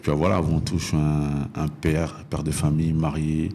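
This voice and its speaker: A man's voice: very deep voice, flat delivery, normal speed, dark tone, slight background noise. A man in his thirties from northern France telling his own story as a podcast guest, hesitating and repeating phrases as he explains. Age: 30s. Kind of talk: personal storytelling in an interview.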